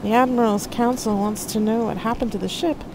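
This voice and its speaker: Male ship intelligence performed by a woman: gentle, apologetic, higher register, noisy audiobook recording. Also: steady tone, brisk, lightly acted. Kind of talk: dramatic sci-fi audiobook dialogue.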